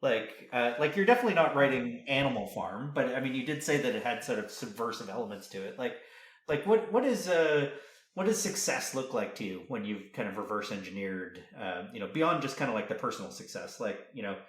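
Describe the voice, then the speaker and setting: Echoey man interviewing, halting delivery. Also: medium pitch, lively intonation. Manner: halting, roundabout, repeats words while forming questions. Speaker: podcast interviewer. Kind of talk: casual interview chat. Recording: echoey room sound.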